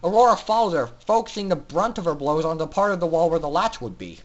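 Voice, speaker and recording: A man's voice: on the high side, very animated, fast talker, highs cut off. A man narrating fan fiction in a theatrical, intense style, as a dramatic story reader.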